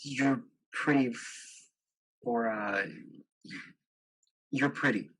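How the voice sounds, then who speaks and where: A man acting a husky, smug young man. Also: mid-range voice, a bit bright, heavily acted, low-quality recording. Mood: smug, prying. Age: young adult. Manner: glib, dismissive, halting. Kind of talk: student one-act stage drama.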